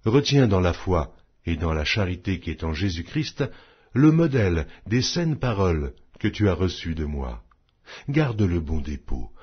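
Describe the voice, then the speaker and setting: A man narrating a Bible audiobook in a solemn scripture-reading style, with measured, declamatory phrasing. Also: low voice, husky, highs cut off.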